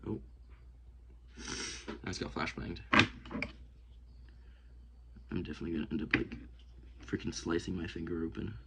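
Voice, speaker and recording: Sniffly young man with a gravelly low voice. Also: steady tone, moderate pace, pauses often. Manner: rambling, self-talking, hesitant with many likes. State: sniffly, congested. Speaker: outdoors vlogger. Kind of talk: casual hands-on vlog. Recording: noisy home recording.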